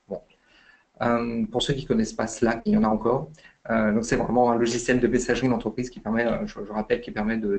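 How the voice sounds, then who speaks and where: A man's voice: mid-range voice, vocal fry, a little mellow. A man giving a webinar talk as invited expert, hesitant, with many fillers and restarts. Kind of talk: webinar explanation.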